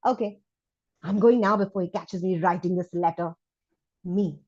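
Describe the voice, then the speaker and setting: A woman voicing a chatty, frank, excitable school child in an animated storybook read-aloud, gushing with dramatic emphasis. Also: lowish voice, normal speed, dull audio, lightly acted. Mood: confiding.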